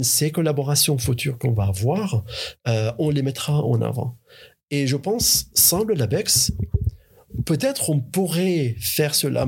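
A man's voice: medium pitch, husky. A man, a researcher, answering interview questions in a hesitant way, with many fillers and restarts. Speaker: researcher and university teacher.

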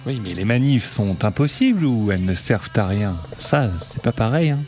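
A man's voice: lowish voice, lively intonation, moderate pace, darkish tone, soft background music, natural voice. Man playing a sententious, placid contrarian. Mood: placidly contrary. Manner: questioning, sententious. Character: placid, pseudo-wise man, needling a woman activist. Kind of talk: French audio fiction acting.

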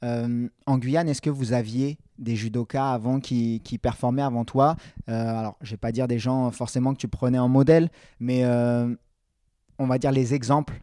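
Male interviewer, mid pitch, warm-toned and relaxed, chatty rambling questions, dry close recording. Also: normal speed, dry recording. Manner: friendly, rambling questions with restarts. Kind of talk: casual sports interview.

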